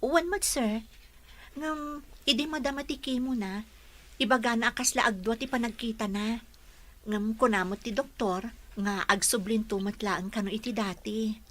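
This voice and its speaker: A woman's voice: on the high side, normal speed, a bit bright. An actress playing a young, caring female caregiver in a radio drama, speaking politely. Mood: concerned. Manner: polite, addresses others as sir.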